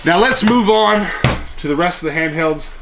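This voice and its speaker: A man's voice: high voice, moderate pace, over music, low-quality recording. A man chatting casually in a vlog, showing off his collection and rambling with self-deprecating humor. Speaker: collector vlogger. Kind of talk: casual show-and-tell vlog.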